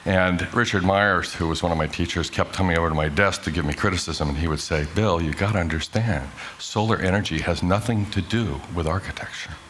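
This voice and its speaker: A middle-aged man delivers a prepared talk on design in a low, grounded voice. He lands his points with emphasis and poses rhetorical questions to the audience. A faint hiss runs under the recording. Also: brisk, few pauses, fairly bright tone. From grew up in Hong Kong. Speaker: architect giving a talk. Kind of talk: prepared conference talk played in class.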